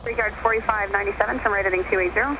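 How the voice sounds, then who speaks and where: A woman working as an air traffic controller, giving brisk procedural instructions over aviation radio. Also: medium pitch, fairly even intonation, music in the background. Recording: noisy aviation radio heard in cockpit.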